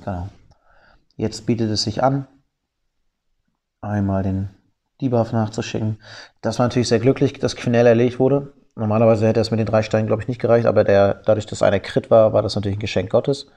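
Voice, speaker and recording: A man with a cold gives gameplay commentary, calmly explaining each move in detail with frequent fillers. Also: lowish voice, fairly quick. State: has a cold.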